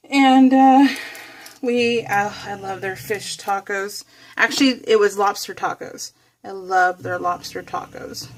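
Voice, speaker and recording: A woman's voice: mid-range voice, slight background noise. Chatty, giggly middle-aged woman hosting craft videos. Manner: rambling, self-correcting, frequent giggling. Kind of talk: casual chatty voice-over while crafting.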